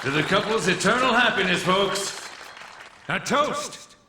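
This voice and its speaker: An actor playing a showy wedding master of ceremonies in a game cutscene, announcer-like and drawn-out. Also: male voice, sits high, sing-song. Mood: showy, festive. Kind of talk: video game cutscene acting. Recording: echoey hall, loud crowd noise.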